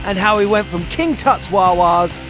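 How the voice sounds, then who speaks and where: A man's voice: sits high, normal speed, over music. A man hosting a podcast in a jokey, matey and enthusiastic way, with casual swearing. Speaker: podcast host. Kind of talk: upbeat podcast presenting and casual chat.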